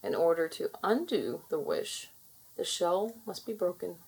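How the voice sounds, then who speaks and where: A woman voicing a kindly, wise merman king in a storybook, calm and measured as he explains. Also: on the low side, vocal fry, noisy background, heavily acted. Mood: kindly, explaining.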